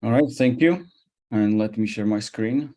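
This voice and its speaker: A man with a Central European accent gives an expert webinar presentation. His voice sits fairly low and has a very mellow, dark tone. He moves through his points haltingly, hesitating often. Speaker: cybersecurity expert giving a presentation.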